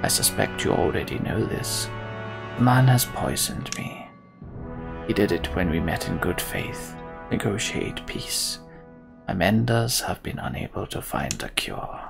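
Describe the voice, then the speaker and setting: A man acts out an old, ailing king from a fantasy game, low and gravelly. He speaks slowly and gravely in a breathy hush, weary but regal, over background music. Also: animated, full character voice. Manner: slow, careful, hushed. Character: old withered poisoned king, weary and solemn. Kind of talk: video game character dialogue.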